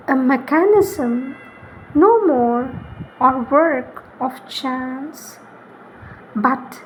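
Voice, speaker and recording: Woman, high-pitched voice, solemn devotional recitation, short measured phrases, faint background noise. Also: low-quality recording. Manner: solemn, deliberate, with pauses between short phrases. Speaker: reader. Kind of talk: slow devotional reading of spiritual poetry.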